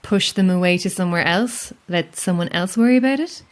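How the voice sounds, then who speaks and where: Actress as lone female lighthouse keeper: lowish voice, guarded, sceptical, wryly questioning, naturalistic horror audio drama. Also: brisk, natural voice. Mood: sceptical, probing.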